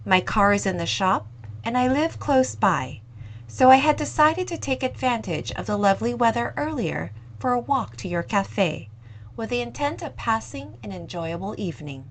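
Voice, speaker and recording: A woman's voice: a little high, animated, normal speed, low-quality recording. A woman reading the part of a lonely, reflective older bachelor who speaks politely and thoughtfully. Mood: reminiscent. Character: reflective older bachelor man, gentle and wistful.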